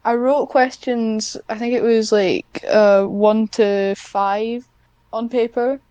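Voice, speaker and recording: Clear-voiced young woman, dry close sound. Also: a little high, steady tone, slow pace, warm tone, no room sound, muffled recording. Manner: rambling, self-deprecating, easily sidetracked. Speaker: podcast guest, ASMR script writer. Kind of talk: casual chat.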